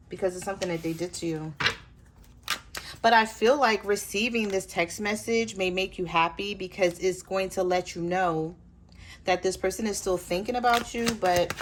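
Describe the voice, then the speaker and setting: A woman with a hoarse voice giving a chatty tarot reading straight to her viewers. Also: on the low side, background noise. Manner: relaxed, chatty, addresses viewers directly. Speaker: tarot reader.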